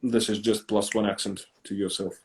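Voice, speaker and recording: A man speaking English with a Russian accent, his voice sitting a little low. He talks in a relaxed, reflective way, stopping to gather his words with small fillers. It sounds like easygoing chat on a live stream. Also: slight background noise. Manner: measured and reflective, pausing with fillers. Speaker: YouTuber, co-host of a live stream. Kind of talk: casual conversational chat.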